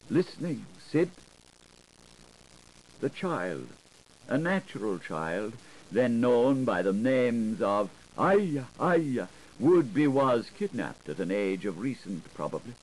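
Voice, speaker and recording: A man with a lowish voice reads a literary audiobook slowly and theatrically. His intonation swings widely, with an incantatory, playful relish for wordplay. It is a 1980s recording with faint background noise. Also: rough recording. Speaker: audiobook narrator.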